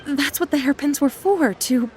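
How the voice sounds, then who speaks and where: An actress voicing a flustered, apologetic young merchant in a video game who stammers anxiously. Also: female voice, high voice, normal speed, a little mellow, faint music behind, close to an everyday voice. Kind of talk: game cutscene voice acting.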